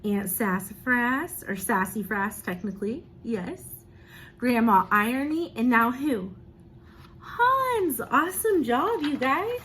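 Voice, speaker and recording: A woman reads a children's picture book aloud in the manner of an encouraging teacher. Her voice sits a little high and she takes her time, speaking expressively to young listeners. There is some noise behind her. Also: fairly bright tone. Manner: encouraging, pausing to ask and praise as a teacher does.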